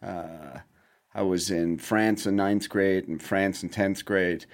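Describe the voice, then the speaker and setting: A man in his forties from Germany, a podcast guest telling stories about his past in a relaxed, wry way. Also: sits low, slow. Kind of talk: casual storytelling interview.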